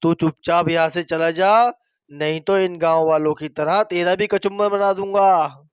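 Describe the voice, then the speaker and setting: Man voicing a high, scolding, vengeful male ghost. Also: steady tone, a little slow, clear, resonant tone, no room sound. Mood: menacing, scornful. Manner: scolding, threatening, insult-laden. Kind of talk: dramatized Hindi moral horror story.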